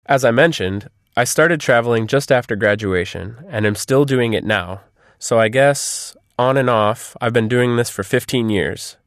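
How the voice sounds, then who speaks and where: A man's voice: medium pitch, normal speed, dry recording, studio quality. A man reading scripted answers as the guest in an interview.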